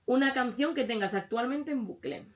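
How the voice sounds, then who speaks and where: A woman with a Spanish accent from Spain, presenting a quick-fire quiz in a light, chatty interview style. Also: on the high side.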